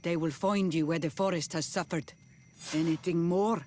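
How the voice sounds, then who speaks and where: An actor playing an authoritative, guarded clan leader in a video game, speaking in a terse, stately way. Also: male voice, on the high side, fairly even intonation, moderate pace, a little mellow, slight background noise, lightly acted. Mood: guarded, commanding. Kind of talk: scripted video game acting.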